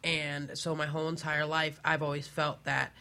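A man's voice: a little high, monotone, normal speed, gravelly, pushed and bright, clean tone. A man on a talk panel speaking casually and confessionally, candid and emphatic.

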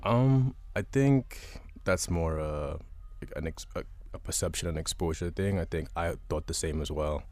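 A young adult man from Uganda, a guest musician answering interview questions in a relaxed, thoughtful way with many pauses. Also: sits low.

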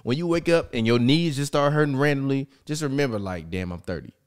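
A man in his thirties, a podcast co-host, in casual banter. His talk is slangy and animated, and his voice rises sharply when he teases. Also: medium pitch, brisk, clean tone. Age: 30s.

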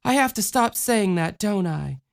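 A man's voice: high-pitched, expressive, normal speed, creaky, pitched above the performer's own voice. A man voicing a frightened, hurt little girl who clings to the adult comforting her, in a small, pleading childlike voice. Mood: scared.

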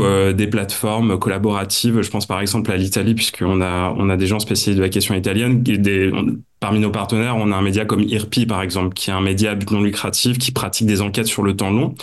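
A low-voiced man from northern France addresses a public round-table as an investigative journalist. He talks in long, searching sentences, full of fillers and restarts as he gathers his thoughts. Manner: hesitant, many fillers and restarts. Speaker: investigative journalist, panel speaker.

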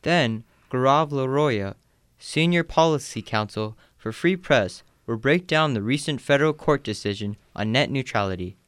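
Male co-host, crisp and mid-pitched, formal scripted radio announcing. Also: animated, moderate pace, a bit bright, no echo, studio quality.

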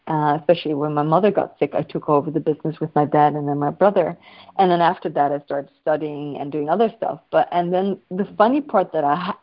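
A woman's voice: low voice, lo-fi sound. A woman from Sweden, a guest in a casual interview, speaking enthusiastically and playfully from home over a video call. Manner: enthusiastic, free-flowing, playful. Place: at home in Sweden with her dog.

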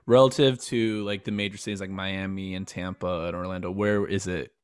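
A man's voice: sits low, steady tone, a little fast. A young man hosting a podcast, chatting casually and easily, often affirming his guest. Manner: easygoing, affirming with frequent short backchannels. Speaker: podcast host. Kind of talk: casual interview chat.

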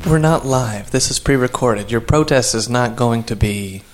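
A man's voice: medium pitch, normal speed, faint music behind, natural voice. A man playing a blunt, exasperated show manager in improvised comedy radio theater, talking in a matter-of-fact, bossy way.

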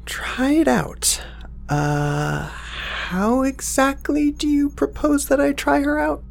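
A man's voice: very high voice, slow pace, vocal fry, heavily acted. A man playing a nervous, apologetic young assistant in an audio drama, hesitant, polite and easily flustered. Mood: amazed, hesitant.

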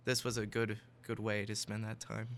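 Flat-toned young man from Tennessee. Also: on the low side, slight background noise. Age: 20s. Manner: plain, slightly halting with "uh" fillers. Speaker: student guest. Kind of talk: casual interview.

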